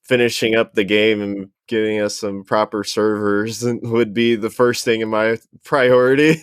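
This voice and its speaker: Smooth, lowish-voiced man chatting casually. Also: fairly even intonation, a little slow, no room sound, clean studio sound. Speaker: panel guest. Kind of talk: casual chat.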